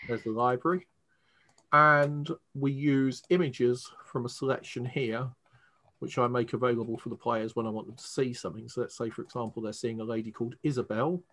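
A man's voice: mid-range voice, fairly even intonation, fairly quick, fairly bright tone. A man with an English accent, casually explaining a tabletop roleplaying game as its game master.

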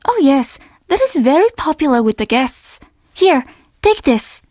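An actress playing a stern young innkeeper's daughter in a video game, speaking in a measured, formal and easily offended way. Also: female voice, high-pitched, big pitch swings, a little mellow, no room sound, studio quality. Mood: polite, businesslike. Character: guarded, stern young Japanese innkeeper's daughter, polite but curt. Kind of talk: video game character dialogue.

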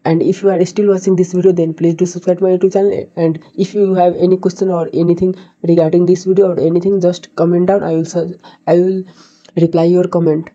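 A man's voice: high-pitched, level tone, dark tone. Very muffled, Nepali-accented man. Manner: halting, repeats words. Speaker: tutorial host. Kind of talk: screen-recorded tutorial narration. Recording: dull, muffled lo-fi microphone.

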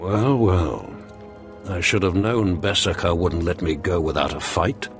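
An actor playing a weary, idealistic, defiant scientist in a video game, with a dramatic, sardonic, impassioned delivery. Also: male voice, low-pitched, expressive, unhurried, music in the background. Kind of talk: video game character dialogue.

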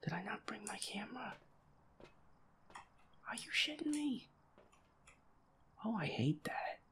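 A man muttering feisty, sweary commentary to himself as he plays a horror game, in a breathy voice. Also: sits high, very animated, slow pace, sharp tone, slight background noise. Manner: feisty, grumbling, swears constantly. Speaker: gamer playing a horror game as audio roleplay character. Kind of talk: hushed gaming commentary, muttering to self.

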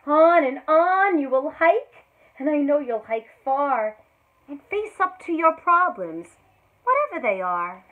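A woman in her thirties, a teacher, reading a children's picture book aloud with dramatic swings between hushed lines and loud exclamations, recorded indoors on a close camera mic. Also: high voice, big pitch swings, unhurried. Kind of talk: expressive read-aloud storytelling for children. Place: small indoor room before a green backdrop. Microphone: built-in camera mic at close range. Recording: tinny phone-like audio.

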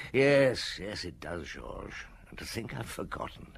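A British actor playing a wry, patient veteran police detective chief in a radio drama, musing with unhurried authority. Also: male voice, lowish voice, very animated, creaky, fairly bright tone, slightly rough, heavily acted. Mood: reminiscing.

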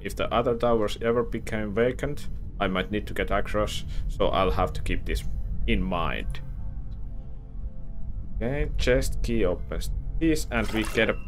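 A man's voice: fairly low pitch, measured pace. A young man in a headset commenting casually on a game as he plays, thinking aloud and reading diary pages aloud. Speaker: gameplay streamer. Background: game music.

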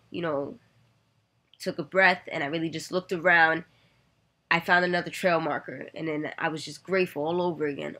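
Woman in her thirties, low voice, earnest and reflective vlogger, webcam in a bedroom. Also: fairly bright tone. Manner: earnest, reflective, with many "you know" fillers. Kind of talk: personal storytelling to camera. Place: bedroom, indoors. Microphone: webcam at desk distance.

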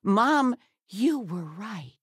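An actress voicing an affectionate, chatty retired mother in a video game, teasing and expressive. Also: mid-range voice, drawn-out words, breathy edge, no echo, studio quality, exaggerated voice. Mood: teasing, exasperated. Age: older. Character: doting, chatty retired mother of grown space explorer. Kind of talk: video game character dialogue.

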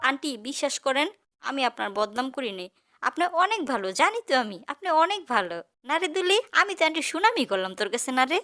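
A woman's voice: high voice, sing-song, fast talker, pushed and bright, exaggerated voice. A woman with a Bangladeshi accent voicing a cheeky, chatty teenage girl friend in a cartoon comedy. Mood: nervous flattering. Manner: chatty, colloquial.

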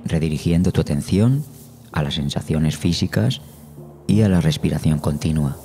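A man narrating a guided meditation in Spanish as the guide, slowly and soothingly, with measured phrases and long pauses. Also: very deep voice, warm tone. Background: meditation music.

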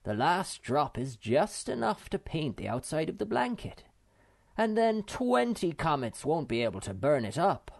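Male wanderer man performing, confident, cheery, slightly high register, children's tale. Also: very animated, unhurried, gravelly, full character voice. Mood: triumphant.

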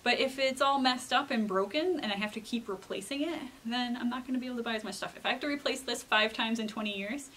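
High-voiced woman explaining things to children. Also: quick pace, hardly any pauses, poor audio. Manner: animated question-and-answer teaching, frequent check-in tags. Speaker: children's librarian presenter. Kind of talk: casual explanatory talk to children. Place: indoor library children's room.

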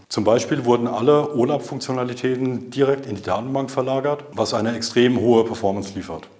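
A man in his thirties with a fairly low voice delivers a scripted corporate explainer. He speaks formally and in a measured way, like a consultant addressing clients on camera. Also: darkish tone. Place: indoor video studio. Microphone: lapel mic.

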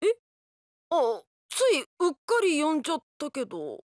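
A timid schoolboy speaks extremely high, with big swoops. He is polite, flustered and excitable, in a dry anime game recording. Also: moderate pace, dry recording.

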